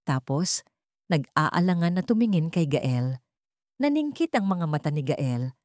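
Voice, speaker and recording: A woman's voice: sits low, big pitch swings, unhurried, darkish tone, dry recording, clean studio sound. A woman reading scripted dramatic fiction as a storyteller. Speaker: story narrator.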